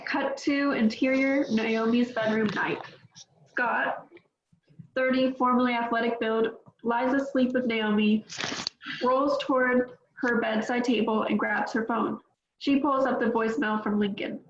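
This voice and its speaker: A woman reading the stage directions of a script at a table read, even and matter-of-fact. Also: on the high side, measured pace. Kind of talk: reading script action lines. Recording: roomy, dull mic with faint noise.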